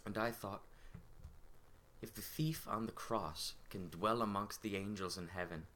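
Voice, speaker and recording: A man playing a well-spoken servant in his thirties, bitter and yearning, in a measured, poetic stage monologue. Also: fairly low pitch, pushed and bright, slight background noise. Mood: wistful storytelling. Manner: measured, poetic storytelling delivery.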